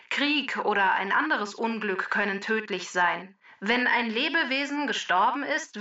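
A woman playing a cheerful, self-assured talking database that lectures briskly and precisely through a computer voice filter in a children's podcast. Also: mid-range voice, bright, forceful tone, distant mic, highs cut off. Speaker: co-host database character. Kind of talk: scripted explanation in a children's podcast.

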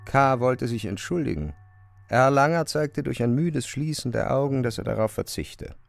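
A man's voice: mid-range voice, lively intonation, moderate pace, creaky, faint music behind. A man narrating a literary radio drama as a scripted storyteller.

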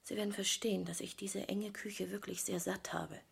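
Woman painter, radio drama, husky, creaky voice, self-possessed, assertive, matter-of-fact. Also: mid-range voice, little pitch movement, normal speed, heavily acted. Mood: cool, businesslike. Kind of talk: German radio drama acting.

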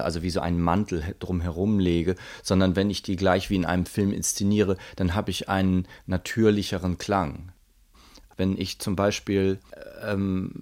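A man's voice: sits low, a little mellow. A man giving a reflective interview statement as an artist, thoughtfully searching for his words. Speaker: musician and radio drama maker.

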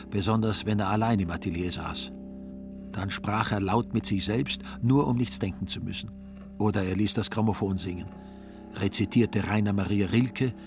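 A man narrating a literary radio drama as a scripted storyteller. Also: fairly low pitch.

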